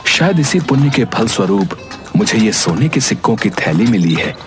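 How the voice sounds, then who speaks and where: A man playing an honest, humble Brahmin bullock-cart driver in a moral-story cartoon, speaking earnestly and deliberately. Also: lowish voice, sing-song, music in the background. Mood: earnest, reflective. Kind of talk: acted Hindi moral-story cartoon dialogue.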